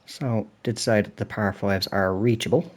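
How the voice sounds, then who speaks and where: A man's voice: on the low side, fairly even intonation, normal speed, a little hiss or hum. Chatty British man, slightly stuffed up. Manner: chatty, apologetic, thinking aloud while playing. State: slightly throaty and stuffed up from a cold. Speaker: gaming channel host. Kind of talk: relaxed golf game commentary.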